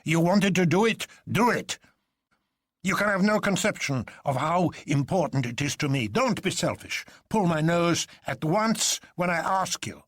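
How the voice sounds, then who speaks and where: A man voicing an imperious high-pitched nobleman, insistent. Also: expressive, unhurried, fairly bright tone, no room sound, clean studio sound. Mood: exasperated, insistent. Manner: formal, commanding, insistent. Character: imperious, exasperated nobleman marquis, male, urgently insistent. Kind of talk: dramatised audiobook character voice.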